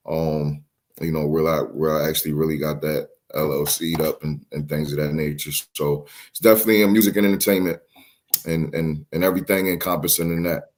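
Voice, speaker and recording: Very deep-voiced man, halting talk. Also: darkish tone. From Philadelphia. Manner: hesitant, repeats words with many ums. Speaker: guest, promotion company CEO. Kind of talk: casual interview talk.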